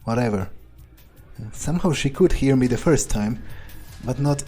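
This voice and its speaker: A man doing in-character game commentary, thinking aloud in a deliberate, dryly musing manner. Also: medium pitch, animated, darkish tone, faint music behind. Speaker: let's player role-playing a vampire character.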